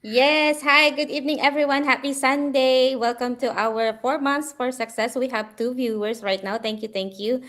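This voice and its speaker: A woman's voice: high voice, steady tone, moderate pace, hardly any pauses, fairly bright tone. A woman with a Filipino accent co-hosting a livestream, greeting viewers in a cheerful, welcoming way. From Philippines. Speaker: livestream co-host.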